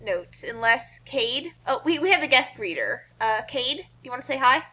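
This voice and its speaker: A woman's voice: high voice, expressive, normal speed, bright, forceful tone. A woman co-hosting a read-along in a casual, chatty, explanatory way. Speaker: co-host reading fan fiction aloud. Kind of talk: casual banter and commentary.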